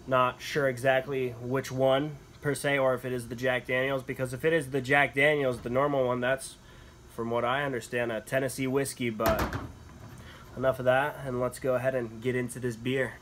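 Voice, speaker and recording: A man's voice: medium pitch, normal speed. Young male beer vlogger, mid-range voice, loose and upbeat, recorded at a table in a small room with a faint hum behind. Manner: relaxed, enthusiastic tasting comments with frequent fillers. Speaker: beer reviewer. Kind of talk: casual beer review vlog. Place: small indoor room with wood-paneled walls.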